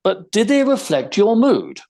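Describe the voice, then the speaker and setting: A man playing a shrewd, unruffled gentleman detective in an audio theater mystery, speaking precisely with formal, wry phrasing. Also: sits high, sing-song, a little slow. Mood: probing, wry.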